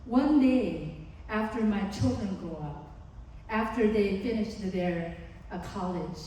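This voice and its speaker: A woman's voice: mid-range voice, animated, slow, warm tone, a little hiss or hum. A woman with a Korean accent giving an earnest, explanatory seminar talk to parents as a psychologist, acting out examples as she goes. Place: large conference hall. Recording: distant, echoey hall.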